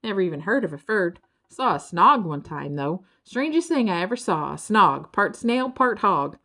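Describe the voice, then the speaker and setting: An easygoing storybook critter, part bird and part turtle, drawls in a rural Southern US accent, acted out by a woman reader. The delivery is folksy and contented, and the pitch moves about in a very animated way. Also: normal speed. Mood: laid-back, folksy. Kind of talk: children's storybook character voice.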